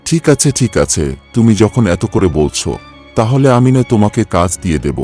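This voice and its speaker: A man plays a tight-fisted village contractor in a cartoon tale. His voice is very low and he talks down to his workers with scornful authority. Soft music runs underneath. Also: very animated, a little fast. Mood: condescending bargaining. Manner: condescending, bossy. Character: stingy, scornful male village contractor boss. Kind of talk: cartoon story voice acting.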